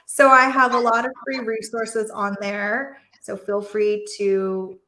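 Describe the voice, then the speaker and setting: A woman's voice: medium pitch, level tone, slow pace, bright, forceful tone. A woman who grew up in Taiwan, a physician and coach, talking earnestly and reflectively as an interview guest. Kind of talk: conversational interview. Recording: roomy echo.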